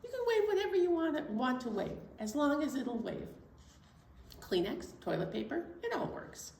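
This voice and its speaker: A woman's voice: high voice, sing-song, moderate pace, gravelly, darkish tone, slight background noise. A woman hosting a children's storytime, playfully explaining songs and activities in a sing-song way to small children. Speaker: children's library storytime host. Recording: roomy echo, distant microphone.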